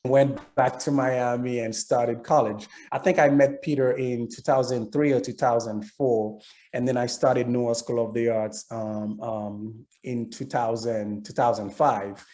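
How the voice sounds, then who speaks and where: A man's voice: medium pitch, fairly even intonation, measured pace, warm tone. A middle-aged man with a Haitian accent, telling a personal story casually as a panelist.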